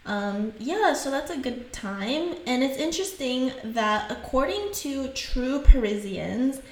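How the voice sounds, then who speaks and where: A woman's voice: sits high, animated, takes it slowly, roomy sound, poor audio. A young woman from Haiti whose first languages are French and Creole, chatting casually as a podcast host and laughing often.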